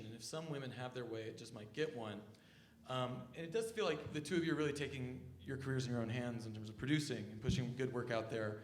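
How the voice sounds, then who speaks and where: Fast-talking man moderator, roomy sound. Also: mid-range voice. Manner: reads statistics, long framing questions. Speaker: journalist moderator. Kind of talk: panel moderating. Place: festival cafe stage.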